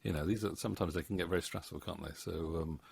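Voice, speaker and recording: A man's voice: sits low, rapid-fire, vocal fry, a little mellow, slight background noise. A middle-aged British man chatting casually and hesitantly, with a modest, self-deprecating manner, into a close microphone at home. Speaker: audio drama writer and director. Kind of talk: casual interview chat. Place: home room with bookshelves. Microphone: studio mic on boom arm, close.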